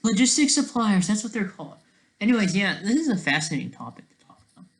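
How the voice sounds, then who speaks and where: A man with a fairly high, very animated voice rambles casually through his own ideas. He stops and starts, trails off and tacks on little questioning checks. The recording is strongly echoey, like an untreated room. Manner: halting, trailing off and jumping between thoughts. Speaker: solo online video creator. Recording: echoey, roomy sound.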